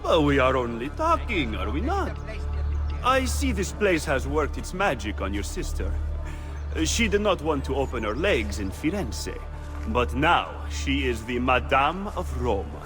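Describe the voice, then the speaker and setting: A man's voice: mid-range voice, big pitch swings, unhurried. An actor playing an arrogant, cowardly Italian nobleman in a video game, taunting theatrically in an Italian accent. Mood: sneering, taunting. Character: arrogant sneering Italian nobleman bully, man, cowardly when beaten. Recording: loud music behind.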